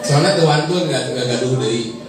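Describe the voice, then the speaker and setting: A man with a Sundanese accent tells childhood stories in a joking, chatty way, laughing as he goes. His voice sits at a middling pitch and rings through a very echoey hall, picked up from a distance. An audience murmurs in the background. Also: moderate pace, over a noisy crowd, very noisy. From Bandung, Indonesia. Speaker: preacher and guest speaker. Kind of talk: casual storytelling about his childhood.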